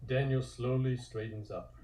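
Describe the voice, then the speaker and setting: A man's voice: mid-range voice, steady tone, measured pace, crowd noise. A man reading scripted comic narration and stage directions in an echoey room.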